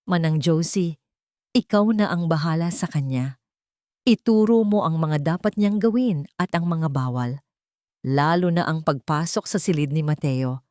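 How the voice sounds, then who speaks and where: A woman's voice: low-pitched, unhurried, mellow, dry recording, studio quality. Woman acting a cold, bossy rich lady. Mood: cold, commanding. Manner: curt, disdainful, commanding.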